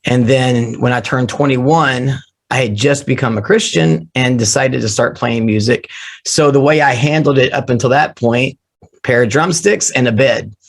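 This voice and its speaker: A man who is losing his voice, hoarse, telling animated, joking stories about his drumming start as an interview guest on a remote call. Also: mid-range voice, moderate pace. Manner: animated storyteller, jokey. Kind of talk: casual storytelling interview.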